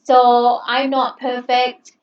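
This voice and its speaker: Woman teacher, non-native English, fairly high voice, encouraging and chatty, recorded in a roomy space with muffled sound. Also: completely flat delivery, slow pace. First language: not English. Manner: encouraging, chatty, repeats "okay". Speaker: English lecturer. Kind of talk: online class introduction. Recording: roomy, distant, dull sound.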